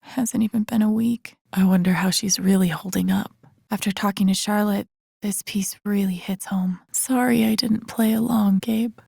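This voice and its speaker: A woman playing a grieving but determined young woman in a drama game, musing to herself in a low-key, soft, naturalistic way with wry edges. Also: creaky, slightly rough, no echo, studio quality. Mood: weary, wistful. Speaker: video game voice actress. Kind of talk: naturalistic game character lines, inner-monologue asides.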